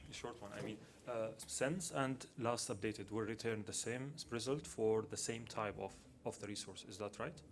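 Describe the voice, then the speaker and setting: A man in the conference audience asking a follow-up question quietly and haltingly, checking that he has it right. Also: lowish voice. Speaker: audience member.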